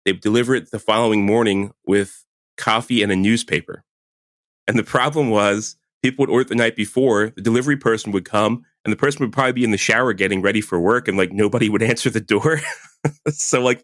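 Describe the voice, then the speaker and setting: A man's voice: lowish voice, steady tone, fast talker, fairly bright tone, no echo, studio quality. A man in his forties co-hosting a podcast, chatting casually and laughing often, with lots of "like" fillers. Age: 40s. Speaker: podcast co-host. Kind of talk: casual chat.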